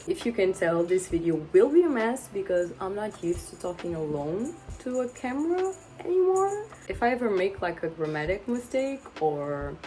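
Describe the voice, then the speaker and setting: A woman's voice: medium pitch, very animated, normal speed, few pauses, warm tone, faint music behind. A woman in her twenties, a native Portuguese speaker, chatting casually to camera at home, a little awkward and rambling, often laughing. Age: 20s. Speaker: book vlogger. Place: home with pets around.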